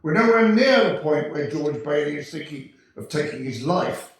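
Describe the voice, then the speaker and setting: Man acting a high-voiced, fussy heavenly superior. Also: animated, normal speed, close to an everyday voice. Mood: firm. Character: fussy, commanding heavenly superior narrating a life. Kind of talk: 1940s radio play acting. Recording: very echoey hall, distant mic.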